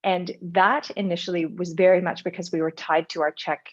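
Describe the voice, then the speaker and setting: A woman's voice: lowish voice, fairly even intonation, a little fast. A middle-aged Canadian woman with a Canadian accent, telling her story as a podcast guest in a reflective, conversational way. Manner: reflective, storytelling with frequent "you know". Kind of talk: casual conversational interview. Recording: muffled lo-fi audio.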